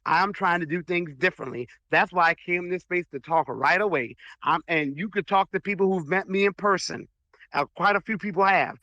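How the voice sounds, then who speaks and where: Man, audio debate, firm, earnest, slightly high pitch, dry close sound. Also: fairly quick, pushed and bright, no echo, lo-fi sound. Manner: firm, earnest, strong mannerisms.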